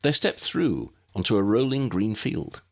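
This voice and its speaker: A man reading a story aloud in an expressive narrating style, with a gravelly, husky voice. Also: on the low side, unhurried. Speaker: audiobook narrator.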